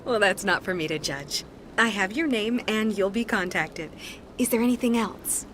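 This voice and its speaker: A woman voicing a courteous, poised alien acolyte who receives clients in a video game, polite, soothing and measured. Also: mid-range voice, fairly bright tone, a little hiss or hum, natural voice. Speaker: receptionist acolyte. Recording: game music behind the voice.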